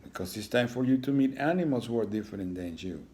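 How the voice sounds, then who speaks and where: A man's voice: mid-range voice, animated, quick pace, a little mellow, natural voice. A man voicing a caring iguana mother in a storybook, coaxing and reassuring her child. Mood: comforting.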